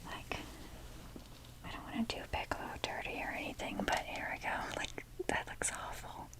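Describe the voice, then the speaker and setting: A woman whispering softly and breathily in a casual, chatty, self-deprecating ASMR ramble, with the odd mild swear. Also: moderate pace. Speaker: ASMR video creator.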